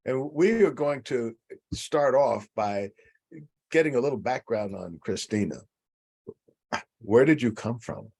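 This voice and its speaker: A man hosting a public interview, speaking in a measured, inclusive way with frequent uhs. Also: mid-range voice, lively intonation, vocal fry, darkish tone, husky. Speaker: interviewer, host.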